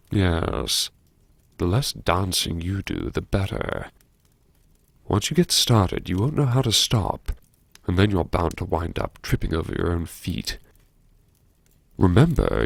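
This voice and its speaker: A man performing a first-person audiobook monologue as a brooding, wry character who anxiously lectures himself in a theatrical, musing way with dramatic pauses. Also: low-pitched, vocal fry, husky, dry recording, heavily acted. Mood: wistful self-warning. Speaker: audiobook narrator.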